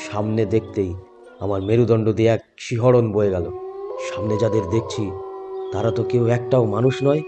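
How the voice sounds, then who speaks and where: Male first-person horror narrator, soft dark tone, music underneath. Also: fairly low pitch. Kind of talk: scripted horror story narration. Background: background music.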